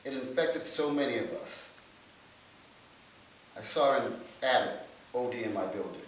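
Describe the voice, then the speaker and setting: A man's voice: medium pitch, lively intonation, unhurried. A man giving a rehearsed dramatic reading of his life story as a stage monologue. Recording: very echoey room, distant mic, faint hiss.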